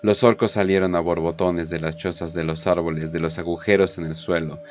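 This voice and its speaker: A man's voice: deep voice, little pitch movement, brisk, lo-fi sound. A man narrating a scripted war story in a dramatic audio drama style. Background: prominent background music.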